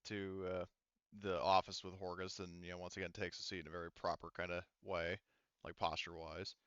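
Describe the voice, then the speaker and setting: Crisp, low-voiced man running a game. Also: little pitch movement, brisk, fairly bright tone, dry recording, muffled recording. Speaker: game master. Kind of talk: casual tabletop game narration and banter.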